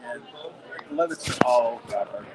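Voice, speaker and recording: A man's voice: medium pitch, quick pace, background noise. An older man chatting casually with visitors at a conference booth, rambling through long anecdotes. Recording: loud crowd chatter behind.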